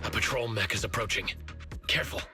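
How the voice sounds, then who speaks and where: Low, forceful, terse anime game guy. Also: fast, faint music behind. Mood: curt. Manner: terse, matter-of-fact. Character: stoic, terse young man teammate in anime game.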